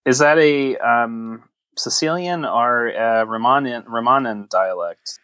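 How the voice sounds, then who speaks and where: A man's voice: medium pitch, slow pace, a bit bright, clean tone, no room sound. A man co-hosting a casual podcast, joking in a sarcastic, teasing and mock-grandiose way. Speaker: podcast co-host. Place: recorded remotely over a voice chat app.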